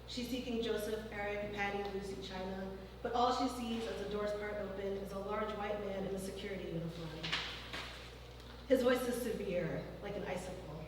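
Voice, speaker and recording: A woman's voice: medium pitch, steady tone, normal speed. Woman reading fiction in an echoey hall. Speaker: author reading her fiction. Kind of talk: literary reading at a live event. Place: large former church hall. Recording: distant mic in a very echoey hall, faint noise.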